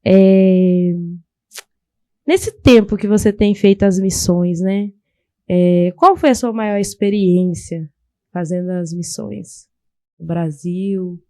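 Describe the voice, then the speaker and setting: A woman's voice: mid-range voice, slow, dark tone, no echo, clean studio sound. A woman hosting a church podcast, interviewing her guest and answering him often with words of praise. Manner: affirming, with frequent "glória a Deus". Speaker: podcast host.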